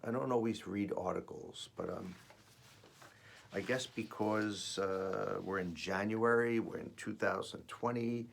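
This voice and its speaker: Raspy man, low gravelly voice, rough from feeling unwell, devotional host, earnest and reflective. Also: a little slow, slight background noise. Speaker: host of a weekly spiritual message. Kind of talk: devotional reading and personal reflection.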